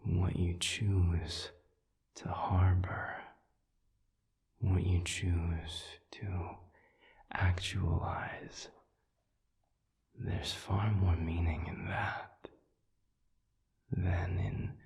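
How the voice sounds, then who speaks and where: A man voicing a calm, wise demon counselor in an intimate audio roleplay, soft and breathy, speaking in measured phrases with deliberate pauses. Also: exaggerated voice. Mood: soothing, earnest. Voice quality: breathy, whispery, very low.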